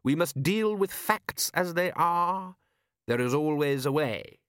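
A man's voice: a little high, expressive, slow pace, fairly bright tone, clean tone, pitched above the performer's own voice. An audiobook city ruler performed by a man, coolly commanding and shrewd. He speaks formally and precisely, with dry sarcasm, in a raised voice set in a dry, echo-free recording. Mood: dryly sardonic. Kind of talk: audiobook character voice.

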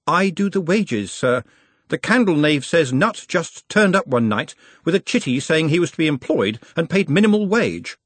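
Guy doing a nervous young clerk, stammers a lot, high and jumpy, kinda breathy. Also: big pitch swings, moderate pace, a bit bright, no echo, full character voice. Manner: hesitant, stammering, deferential. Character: nervous young male academic clerk, dutiful and anxious.

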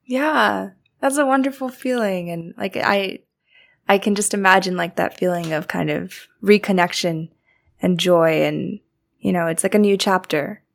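A woman's voice: mid-range voice, measured pace, vocal fry. A young woman hosting a podcast, supportive and thoughtful as she asks her guest questions. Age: young adult. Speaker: podcast host. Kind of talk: casual interview chat.

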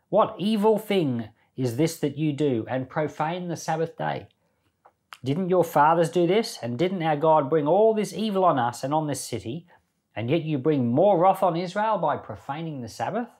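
A man's voice: on the high side, animated, moderate pace, a little mellow. A man hosting a Bible podcast, reading scripture expressively and with emphasis, then commenting on it informally. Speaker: Bible podcast host and reader.

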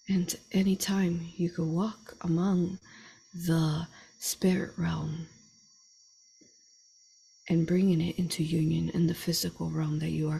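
Woman doing slow guided meditation, lowish creaky voice, soft and whispery, long pauses, bit of background noise. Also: low-quality recording. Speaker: guided meditation leader and channeler.

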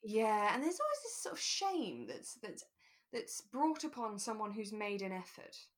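A woman's voice: medium pitch, huge pitch swings, measured pace. A woman chatting casually as a podcast co-host, often laughing as she talks. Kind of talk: casual chat about a radio drama.

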